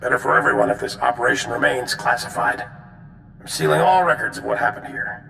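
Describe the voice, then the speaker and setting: An actor playing a weary, gruff military general in a video game, with a gravelly voice and echo around it. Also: male voice, a little fast, pushed and bright. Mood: weary, regretful. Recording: echoey hall, noisy rumble.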